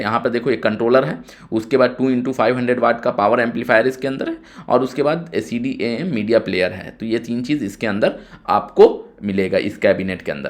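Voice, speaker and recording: A man giving an informal tutorial, explaining technical drawings step by step and often repeating himself. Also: mid-range voice. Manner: step-by-step explaining with frequent repetition. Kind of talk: informal screen-share tutorial narration.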